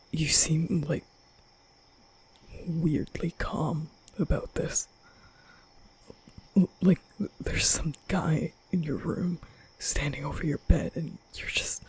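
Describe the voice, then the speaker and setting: A young man playing an awkward, nervous vampire in an ASMR roleplay, stammering and muttering in breathy whispers. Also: a little high, exaggerated voice, poor audio. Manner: halting, stammering, muttering to himself. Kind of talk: ASMR roleplay audio drama.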